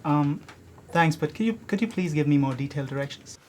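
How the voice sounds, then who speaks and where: A man's voice: a little high, animated, brisk, darkish tone, a little hiss or hum, close to an everyday voice. A man playing a confused student politely asking for directions in a skit.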